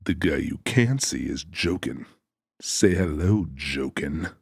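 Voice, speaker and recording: A menacing male avenger from a crime audiobook, acted by a man, with a very deep, husky voice. He speaks deliberately and close to the mic in a dry space, with folksy, mock-polite formality. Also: animated, darkish tone, dry recording, exaggerated voice. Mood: taunting. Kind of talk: audiobook character acting.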